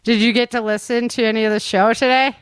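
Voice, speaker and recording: A woman's voice: fairly high pitch, level tone, fast talker, clean tone, no echo, clean studio sound. A woman hosting a podcast in casual chat, with an informal, rambling, giggly way of talking. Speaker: podcast host.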